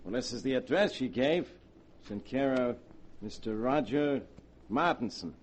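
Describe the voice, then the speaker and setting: A man's voice: medium pitch, expressive, normal speed, lightly acted. An actor playing a polite, businesslike delivery man in a 1940s radio drama, matter-of-fact in manner. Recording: vintage 1940s radio recording.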